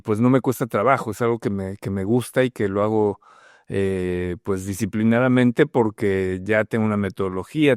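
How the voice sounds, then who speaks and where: A middle-aged Mexican man with a Mexican Spanish accent, a guest telling his story in a relaxed interview, pausing with "eh" and "pues". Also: lowish voice, smooth, dry recording, studio quality. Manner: thoughtful, many fillers like "eh" and "pues". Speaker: guest, bank HR manager.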